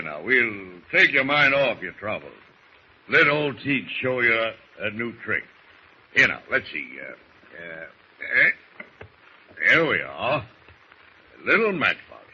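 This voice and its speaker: An actor playing a crusty older sailor in 1940s radio style, jovial with a sly menace, talking in a folksy seafaring dialect. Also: male voice, mid-range voice, big pitch swings, takes it slowly, pauses often, breathy edge, exaggerated voice. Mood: sly joviality. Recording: muffled, noisy old radio recording.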